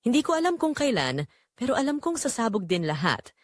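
A woman's voice: fairly high pitch, big pitch swings, brisk, no room sound, clean studio sound. A woman playing an anguished, betrayed wife who narrates her story in an emotive, confiding way for an audio drama. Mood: anguished, foreboding. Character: Rosa, anguished betrayed wife, doubting her own sanity. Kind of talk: dramatic first-person scripted narration.

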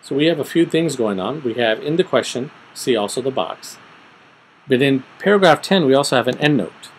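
A middle-aged man giving an informal, step-by-step screen tutorial, thinking aloud methodically with pauses. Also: medium pitch, lively intonation, slight background noise, low-quality recording. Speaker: tutorial presenter. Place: small room at home, indoors.

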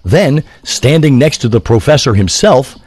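Animated male storyteller, lively pitch. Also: normal speed, slight background noise. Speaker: narrator. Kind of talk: theatrical scripted story narration.

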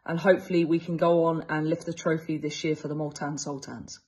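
A woman's voice: deep voice, little pitch movement, brisk, darkish tone, breathy edge. An English woman in her thirties, a cricket coach answering interview questions enthusiastically over a video call, with frequent fillers and restarts. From England. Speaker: fast bowling coach. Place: indoors, small room. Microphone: earbuds on a video call.

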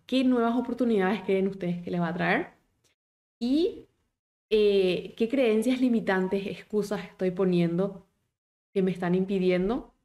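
A woman, a German teacher in a live online class who talks with lively encouragement and asks her viewers many questions. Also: mid-range voice. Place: small home office indoors.

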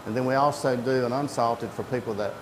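A man's voice: medium pitch, fairly even intonation, a little fast. A middle-aged man explaining a production process step by step in a plain-spoken interview style. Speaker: creamery worker explaining production. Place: indoor creamery processing room with steel tanks. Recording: echoey room, loud equipment noise.

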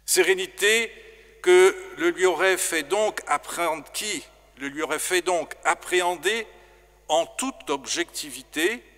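A middle-aged priest gives a formal sermon, speaking very slowly and with great care. His voice is bright and clear, sitting a little high for a man. It rings out through a long church reverb. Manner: slow, measured, formal preaching. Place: large stone church. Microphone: stand microphone in front.

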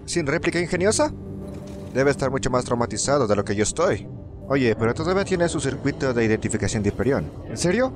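A man's voice: medium pitch, lively intonation, fast talker, music in the background, close to an everyday voice. A man with a Latin American Spanish accent playing an uneasy, sarcastic young company employee in a conversational game dub. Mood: uneasy, dry. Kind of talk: conversational video game fan-dub acting.

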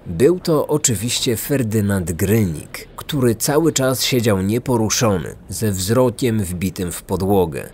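A man's voice: lowish voice, lively intonation, a little slow, few pauses, a little mellow, husky. A man reading a scripted, dramatic true-crime narration as a podcast narrator. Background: music.

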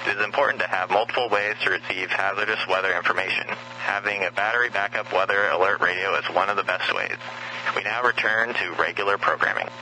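A man's voice: low-pitched, fairly even intonation, fast talker. A man reading a scripted public safety announcement as a weather radio announcer. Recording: noisy humming weather radio broadcast.

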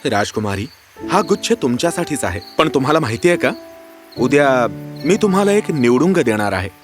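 An actor playing an earnest, charming young prince with a courteous, romantic manner in a fairy-tale cartoon. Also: male voice, medium pitch, big pitch swings, a little fast, faint music behind, close to an everyday voice. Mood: courteous, teasing.

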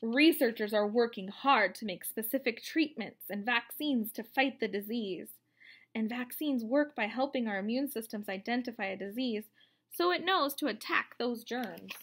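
A woman's voice: sits high, a bit bright. A woman in her thirties reading a children's book aloud, explaining simply and expressively. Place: indoors, room at home.

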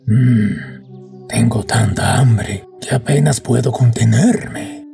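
A man voicing a hungry, scheming wolf with a gravelly voice in a children's cartoon. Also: mid-range voice, slow, dark tone, soft background music. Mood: greedy, hungry.

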